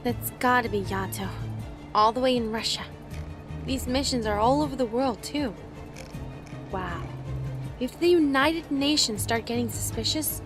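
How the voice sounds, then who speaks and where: A woman's voice: a little high, natural voice. A woman playing an earnest, curious agent in an anime parody audio drama, polite and musing. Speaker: voice actor.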